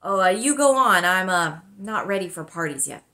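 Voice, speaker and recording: A woman voicing an uneasy, weary father in a storybook, speaking in a hesitant, halting way. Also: lowish voice, expressive, pushed and bright. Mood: reluctant. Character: uneasy, weary father who avoids parties.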